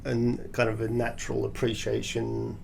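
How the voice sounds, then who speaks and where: A man from Australia talks through his career as a podcast guest. His voice is deeply gravelly, full of fry at the ends of phrases, at a middling pitch. He explains at length and restarts his sentences often. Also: monotone, slight background noise. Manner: measured, explanatory, with frequent restarts. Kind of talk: conversational podcast interview.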